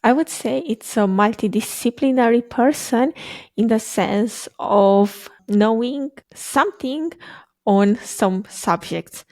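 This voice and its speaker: A young woman from Romania, speaking English as a second language, with a fairly high voice. She chats casually as a guest over a video call, laughs easily and pauses on little fillers. The sound is dry and close, with no room echo. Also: a little mellow. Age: young adult. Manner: chatty, laughs often, hesitant fillers. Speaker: guest, quantum computing researcher. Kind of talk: casual interview conversation. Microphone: video call microphone.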